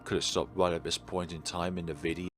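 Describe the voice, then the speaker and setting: A man's voice: low-pitched, fairly even intonation, fast talker, faint music behind. A man hosting a let's play, reading aloud and musing in a rambling, digressive way. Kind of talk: reading a visual novel aloud with rambling off-script commentary.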